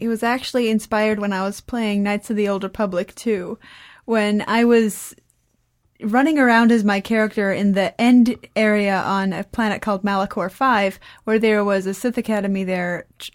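A teenage girl chatting casually, rambling and interrupting herself with dry humor. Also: mid-range voice. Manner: rambling, self-interrupting, dryly joking. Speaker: young podcast creator and guest. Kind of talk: casual chat.

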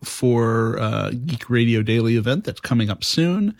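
A man hosting a casual podcast chat in a halting, conversational way. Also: mid-range voice, animated, vocal fry, no echo, studio quality. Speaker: podcast host and interviewer.

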